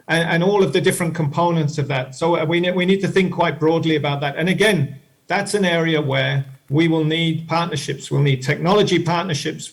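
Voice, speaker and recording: A man answering as an expert panelist in a measured, explanatory way, rephrasing as he goes. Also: fairly high pitch.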